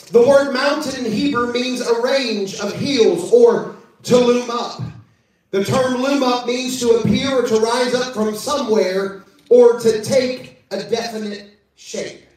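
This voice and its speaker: A man with a high voice delivers a sermon, reading scripture and calling out to the congregation. His voice rings through a very echoey church, caught from well off the mic. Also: a little mellow, distant mic. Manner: preaching with call-outs and scripture reading. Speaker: preacher. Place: church service.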